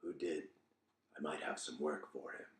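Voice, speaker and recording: A man voicing a secretive, scarred, hooded stranger in a fantasy audiobook, in a measured, understated way. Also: extremely low pitch, steady tone, pitched below the performer's own voice. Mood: curious, evasive. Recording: roomy, echoing room sound.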